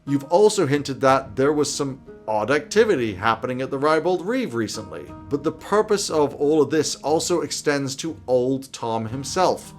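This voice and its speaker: A man's voice: medium pitch, expressive, moderate pace, few pauses, soft background music. A man in his thirties presenting semi-scripted game master advice to camera in a wry, emphatic, irreverent way. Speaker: host giving game master tips. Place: small indoor home office.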